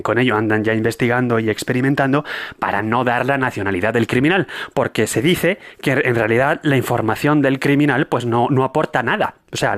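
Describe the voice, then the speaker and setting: A man's voice: mid-range voice, fairly bright tone. A man hosting a casual solo podcast, chatty and self-correcting, with wry asides. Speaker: podcast host.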